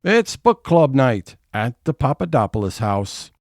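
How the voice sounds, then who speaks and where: A man's voice: mid-range voice, big pitch swings, measured pace, a little mellow, soft background music. A man narrating scene changes in a scripted comedic audio play.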